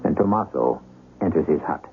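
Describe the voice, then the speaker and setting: A man hosting and narrating an old-time radio drama in a deliberate, dramatic way. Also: extremely low pitch, lively intonation, phone-quality sound, a little hiss or hum. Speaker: host and narrator. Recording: band-limited old radio recording, loud music.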